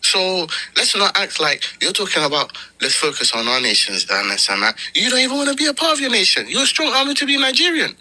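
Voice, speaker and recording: A man from Somalia with a very sharp, forceful voice and a husky edge. He argues in an online debate, mocking his opponents with pointed rhetorical questions. The sound is dry and close. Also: sits high, sing-song, quick pace, hardly any pauses, no room sound, low-quality recording. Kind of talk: heated online debate, trash talk.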